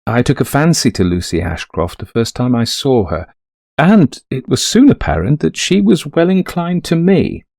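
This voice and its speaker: An actor playing a middle-aged, greying widower in a ghost story, confiding an eerie haunting to a friend in genteel, old-fashioned phrasing. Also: male voice, mid-range voice, very animated, moderate pace, creaky, a little mellow, no room sound, clean studio sound. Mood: fond, reminiscent. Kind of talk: dramatised first-person ghost story narration.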